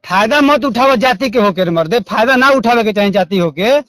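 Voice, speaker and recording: Very high-voiced male caller, Bhojpuri accent, argumentative and aggrieved, close dry sound. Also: animated, brisk, a bit bright, no echo. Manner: argumentative, aggrieved, repetitive, coarse-mouthed. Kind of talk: confrontational phone call.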